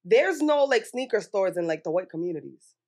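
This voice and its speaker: A woman's voice: medium pitch, sing-song, fairly quick, a little mellow. A woman with an American accent arguing casually and insistently in a group debate, with some swearing. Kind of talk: heated panel debate.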